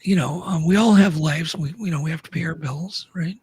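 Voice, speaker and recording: Breathy guy on a podcast, kinda high voice, earnest, keeps restarting. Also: brisk, a little mellow, dry recording. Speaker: guest. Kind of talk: casual podcast chat.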